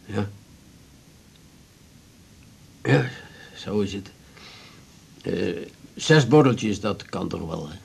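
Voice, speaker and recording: An actor playing a meek, grieving widower in a 1980s radio drama, halting and low-spirited, with a gravelly, husky voice. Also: male voice, expressive, heavily acted. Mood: meek, resigned. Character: grieving, hesitant widower who drinks, meek and wounded.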